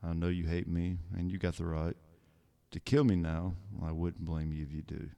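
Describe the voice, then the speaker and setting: A man voicing a rough, gray-haired old father who speaks gruffly and bluntly, in a low, gravelly voice. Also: flat delivery, normal speed, mellow.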